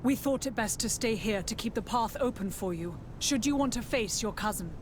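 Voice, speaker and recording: Actress playing steadfast female soldier in a game, fairly high, formal, rumbling noise behind. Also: animated, normal speed, lightly acted. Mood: grim, respectful.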